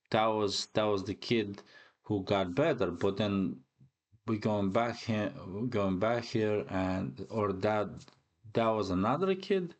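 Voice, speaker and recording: A man hosting a reaction video with casual commentary, rambling and often repeating words as he thinks aloud. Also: on the low side.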